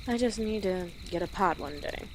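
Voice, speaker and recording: A woman playing an easygoing, friendly female orc travel guide in an audio roleplay, speaking gently and conversationally to the listener with pauses. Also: mid-range voice, animated, noisy background, close to an everyday voice. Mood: fond, chatty. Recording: outdoor nature ambience with birdsong behind. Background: birds and rural outdoor ambience.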